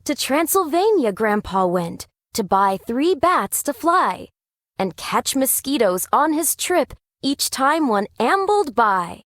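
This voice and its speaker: A woman narrating a rhyming children's story in an expressive read-aloud style. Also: sits high, takes it slowly, dry recording, clean studio sound.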